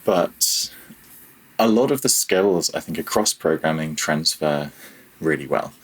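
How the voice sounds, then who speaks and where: Podcast guest, male, deep and slightly rough voice, informal, meandering delivery. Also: animated, measured pace, poor audio. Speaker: podcast guest, audio programmer. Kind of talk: casual interview, telling own career story.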